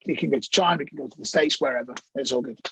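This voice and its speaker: A man chatting casually as a guest on a video call, relaxed, with frequent fillers. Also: mid-range voice, racing, background noise. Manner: relaxed, filler-heavy, laughs easily.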